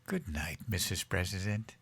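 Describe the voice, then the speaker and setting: An actor playing a childish, guileless older American president and doting husband in an audio sitcom, earnest and excitable. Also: male voice, sits low, moderate pace, background noise. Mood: childlike, affectionate.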